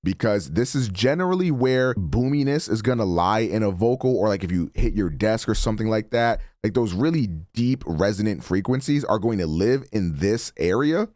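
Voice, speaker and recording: A man with a deep voice casually walking through his microphone settings as a streamer, relaxed and chatty. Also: moderate pace, few pauses, no echo, studio quality. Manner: relaxed, chatty, self-correcting. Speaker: streamer explaining mic settings. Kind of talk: casual tutorial walkthrough. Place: home streaming setup. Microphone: studio broadcast mic.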